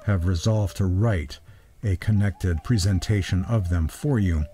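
A man presenting a scripted, reflective religious history lecture, reading quotations in a measured, deliberate manner, phrase by phrase. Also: low voice, dark tone, faint music behind.

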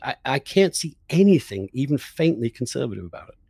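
A man's voice: medium pitch, sing-song, a little fast, creaky, warm tone, breathy edge, dry recording. A man hosting a political podcast, debating in an emphatic, opinionated, argumentative way. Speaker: podcast host.

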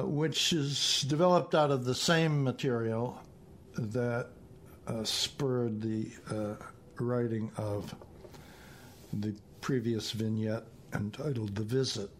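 A man in his seventies with an American accent, reading his own poetry and prose in a deliberate, measured way. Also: mid-range voice, slight background noise. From northeastern United States. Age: 70s. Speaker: poet reading own work.

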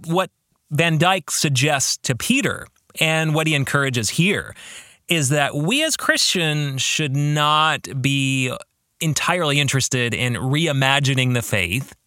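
A male podcast host talks through a book in a reflective monologue. His voice sits a little high and moves expressively up and down, with deliberate phrasing aimed straight at the listener. The sound is close and dry. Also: animated, pushed and bright, dry recording.

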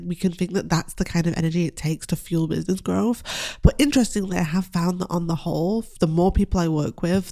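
A woman business coach talks through her solo podcast at a brisk clip, her voice stuffy and congested from a cold. Her tone is mellow and dark, and her delivery stays gentle, reflective and earnest. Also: on the low side.

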